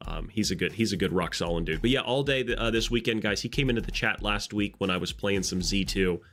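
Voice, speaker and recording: Brief: stuffy-nosed male streamer with a lowish voice, chatty, shouting out viewers, soft music underneath. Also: fast. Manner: chatty, greets viewers by name, rambling asides. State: congested nose. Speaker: live streamer greeting chat. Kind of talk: casual live stream chat.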